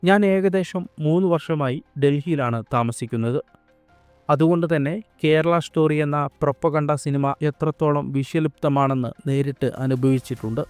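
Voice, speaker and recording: A man delivers scripted video essay narration in a smooth, resonant and fairly high voice. He explains things in a measured, expository way. Soft music plays underneath him. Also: steady tone, no room sound. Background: faint background music.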